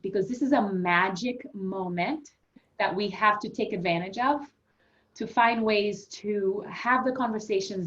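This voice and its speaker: A woman from Haiti, a yoga health coach, speaking earnestly and reflectively as a guest in a conversational interview. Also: mid-range voice. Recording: muffled lo-fi audio.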